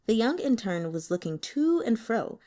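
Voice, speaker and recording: Woman audiobook narrator, very lively intonation, expressive fiction reading. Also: on the high side, normal speed. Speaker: audiobook reader.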